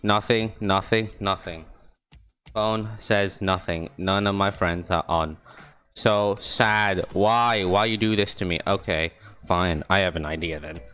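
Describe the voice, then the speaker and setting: Young man, low voice, casual gaming stream chatter, joking, faint background music. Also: unhurried, fairly bright tone, clean tone. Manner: rambling, self-mocking, yelps high when fighting.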